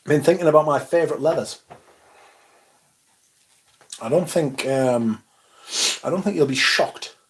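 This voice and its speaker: A man's voice: a little high, measured pace, long pauses. Laid-back man chatting on a livestream. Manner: laid-back, swears casually, mumbles asides, reads chat aloud. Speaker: livestream host. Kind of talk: casual livestream chat reading comments.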